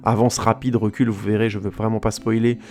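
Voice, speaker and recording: A man's voice: fairly low pitch, flat delivery, fairly quick, warm tone, faint music behind. A French-speaking man giving a casual, rambling film review for his podcast, often restarting his sentences and hesitating.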